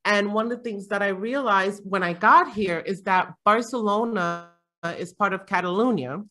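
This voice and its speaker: A woman's voice: medium pitch, moderate pace, sharp tone. A middle-aged woman from Jamaica chatting casually as a panel guest, rambling with frequent fillers and laughs. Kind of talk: casual conversation.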